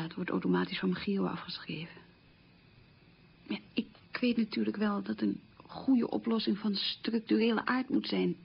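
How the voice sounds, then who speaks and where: An actress playing a frugal, middle-aged divorced woman haunted by wartime poverty, confiding and explaining herself in a radio play monologue, with a husky, creaky voice. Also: mid-range voice. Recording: dull lo-fi old radio recording.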